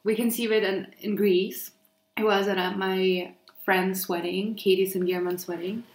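A woman's voice: mid-range voice, little pitch movement, normal speed. A woman of twenty-nine in casual conversation at home, answering her partner in a matter-of-fact way. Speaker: partner being interviewed. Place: kitchen, at the table during a meal. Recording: roomy echoing home recording.

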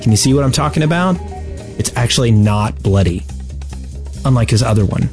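A man's voice: medium pitch, expressive, a little fast, darkish tone. Man around forty chatting over music. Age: 40s. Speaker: podcast host. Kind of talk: casual chat.

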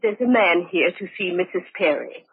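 An actress playing a deferential, slightly hesitant household maid in an old-time radio drama. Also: female voice, lowish voice, normal speed, close to an everyday voice. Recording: muffled, faintly noisy old radio recording.

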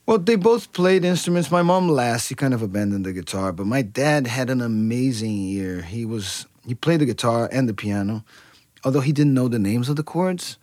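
A man's voice: mid-range voice, animated, normal speed, darkish tone, no echo, clean studio sound. A man from Brazil, a musician, talking casually in an interview with many restarts and fillers. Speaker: musician guest.

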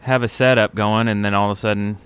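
Clear-voiced man in casual podcast chat. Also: on the low side, fairly even intonation, fast, a bit bright. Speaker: podcast co-host.

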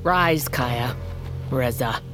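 An actress playing a stern, burdened queen of a wolf tribe in an audio drama, speaking in a grave, measured, formal way. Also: a bass voice, over music, heavily acted. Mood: cold anger. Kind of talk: audio fiction drama acting.

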